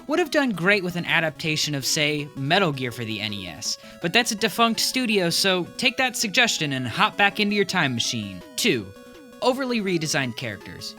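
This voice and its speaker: A man's voice: on the high side, huge pitch swings, normal speed, bright, forceful tone, soft background music. A young man narrating a comedic video essay in a wry, punchy list-by-list delivery.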